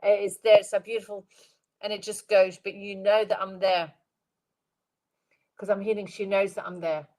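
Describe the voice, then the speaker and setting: A middle-aged woman with a stuffed-up, congested nose gives a psychic reading on a live stream. She talks gently and meanders, circles back on her own phrases, and calls out viewers by name as she goes. Her pitch sits in the middle of the range. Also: little pitch movement, moderate pace, long pauses, poor audio. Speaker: medium giving live readings.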